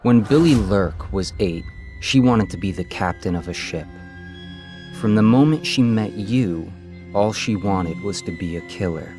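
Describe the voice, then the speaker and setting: An actor playing a calm, otherworldly male god-spirit who tells a story in a measured, detached way in a game. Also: on the low side. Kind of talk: voiced game narration. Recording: game music behind.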